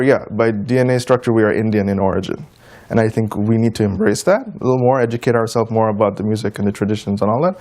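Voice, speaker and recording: A man's voice: medium pitch, mellow. Man chatting informally in an interview. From United States, of Trinidadian and Guyanese descent. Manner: informal, anecdotal, quoting people in conversation. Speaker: interview guest, tabla player and teacher. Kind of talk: conversational interview answers.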